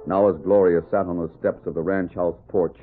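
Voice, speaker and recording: A man's voice: low-pitched, level tone, brisk, lightly acted. A man with an American accent performing as a dramatic narrator of an old-time western radio serial. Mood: solemn storytelling. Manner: dramatic, theatrical. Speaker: announcer and narrator. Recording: tinny old radio recording with music.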